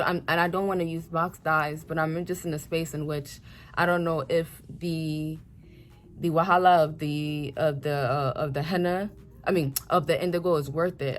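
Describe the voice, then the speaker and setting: A young woman vlogger who was born in Nigeria and grew up in the United States answers her viewers' questions. She has a somewhat low voice and a loose, chatty manner full of fillers and restarts. Faint music plays behind her. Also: a bit bright. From born in Nigeria, raised in the United States. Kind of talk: casual Q&A vlog.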